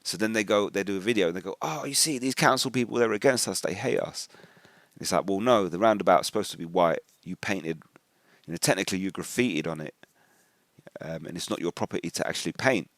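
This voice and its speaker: A man with an English accent and a notably deep voice speaks in an informal commentary style. His wording is colloquial and slangy, and he slips into quoted remarks as he explains things. The sound is dry, with very little room around the voice. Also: fast, fairly bright tone, no echo. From England. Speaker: religious video commentator. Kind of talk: informal spoken commentary with personal anecdotes.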